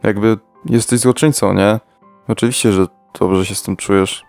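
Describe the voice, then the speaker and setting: Guy doing a fed up teen fighter, deadpan, lowish smooth voice, soft music behind. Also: normal speed, dry recording. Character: weary teenage boy fighter, fed up and sarcastic. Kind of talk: fan dub comedy parody.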